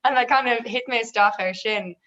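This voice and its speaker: A woman's voice: on the high side, moderate pace, fairly bright tone. Young woman, chatty, a bit self-deprecating, kinda muffled sound. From Dublin. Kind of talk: casual panel discussion. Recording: dull lo-fi audio.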